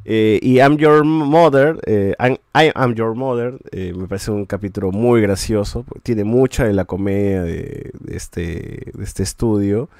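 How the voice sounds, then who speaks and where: A man's voice: fairly low pitch, unhurried, hardly any pauses, darkish tone, no room sound, studio quality. A man, hosting a podcast and reviewing shows in casual chat, rambling with many restarts and a habit of ending sentences with a questioning tag.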